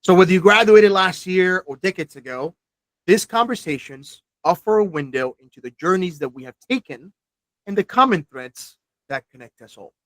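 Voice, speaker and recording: A man's voice: sits high, lively intonation, moderate pace, fairly bright tone, dry recording, rough recording. A man in his forties hosting an alumni podcast, reading a scripted welcome and then asking chatty questions with small restarts. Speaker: podcast host. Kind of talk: podcast interview.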